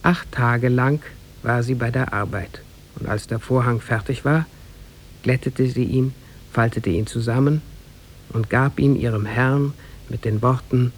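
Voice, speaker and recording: A man narrating a scripted tale for 1950s German radio in a measured, formal storytelling manner, with a gravelly, husky voice. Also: mid-range voice, slight background noise, low-quality recording.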